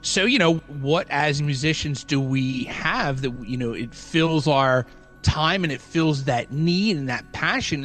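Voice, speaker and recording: A man's voice: a little high, fairly bright tone. A middle-aged man co-hosting a music podcast in casual conversation. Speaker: podcast co-host.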